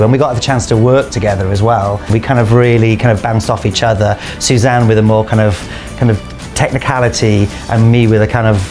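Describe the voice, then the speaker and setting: A man's voice: medium pitch, darkish tone. A man from Wales, chatting in a casual, self-deprecating way in a documentary interview. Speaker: Irish dancer in a comedy circus show. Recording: music behind the voice. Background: music.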